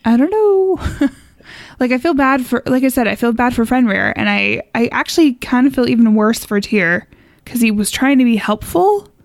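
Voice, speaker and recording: A woman's voice: on the high side, expressive, moderate pace, gravelly, dry recording, clean studio sound. A woman co-hosting a podcast in casual chat, speaking informally and wryly, often with a chuckle in her words. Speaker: podcast co-host.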